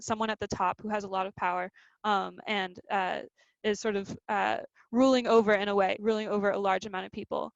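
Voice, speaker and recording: Woman, 20, youth organizer on a video call; gravelly, casual presenter, dull dry audio. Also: medium pitch, no room sound. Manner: filler-heavy, loose explanatory talk.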